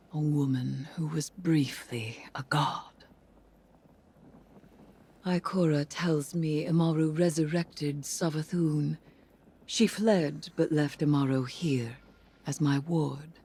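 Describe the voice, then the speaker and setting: A woman voicing a weary, solemn witch in a video game, grave and deliberate, with a husky, breathy voice. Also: low voice, a little mellow, heavily acted. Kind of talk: scripted dramatic game monologue.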